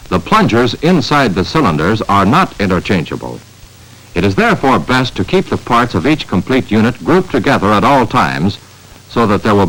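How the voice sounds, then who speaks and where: An American man narrating a 1950s instructional training film in a measured, emphatic, scripted manner, on a vintage film soundtrack. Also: fairly low pitch, fairly bright tone, poor audio.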